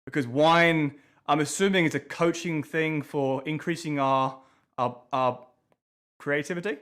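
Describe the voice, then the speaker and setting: A man hosting a relaxed conversational show, chatty and loose, often restarting his sentences. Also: a little high, a little slow. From from abroad, living in New Zealand. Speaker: podcast host. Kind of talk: casual chat.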